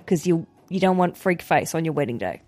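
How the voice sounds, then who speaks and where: A woman's voice: deep voice, a little fast. Chatty Australian woman podcast host. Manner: chatty, jokey and lively. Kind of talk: casual chat.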